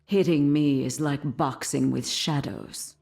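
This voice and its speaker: An actress playing a cold, humorless female monster hunter in a video game, grave and menacing, with breathy, near-whispered delivery. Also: deep voice, steady tone, slow, mellow, full character voice. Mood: ominous, grave. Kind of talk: video game character voice lines.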